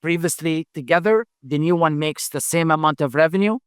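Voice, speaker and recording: A middle-aged man, a company founder, speaking English as a second language in a halting interview answer style, full of fillers and repeated words. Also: a little high, clear, resonant tone, no echo, clean studio sound. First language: not English. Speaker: founder and CEO, podcast guest.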